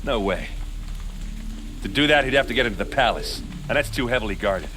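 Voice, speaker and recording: An actor playing a blunt, hot-headed warrior captain in a video game, gruff and bantering. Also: male voice, medium pitch, expressive, fairly quick, over music, natural voice. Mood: confident. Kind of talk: dramatic video game voice acting.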